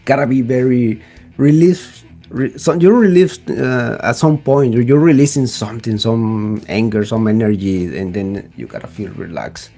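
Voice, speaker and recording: A man's voice: mid-range voice, moderate pace, mellow, faint music behind. A man interviewing a singer, speaking as a with enthusiastic, eager questions. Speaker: interviewer, show host. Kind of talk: casual interview conversation.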